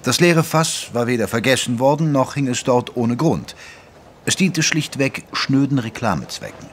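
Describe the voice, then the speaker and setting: A man narrating a period mystery audio drama in a measured, literary manner. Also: medium pitch, very animated, slight background noise, natural voice. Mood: measured narration. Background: music under the voice.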